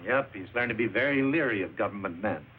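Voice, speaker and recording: A male actor plays a brisk, opinionated agent in a vintage noir drama. His lowish voice rises and falls freely. The old recording is thin, with faint noise. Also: lively intonation, phone-quality sound, lightly acted. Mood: musing. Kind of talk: mid-century film noir crime drama acting.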